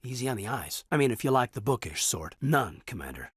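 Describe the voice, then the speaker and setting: An actor playing an earnest, thoughtful military officer in a sci-fi video game, speaking sincerely and respectfully with a husky voice. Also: male voice, medium pitch, big pitch swings, brisk, no echo, clean studio sound. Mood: shyly warm. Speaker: video game character, soldier. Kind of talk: video game voice acting, conversational dialogue.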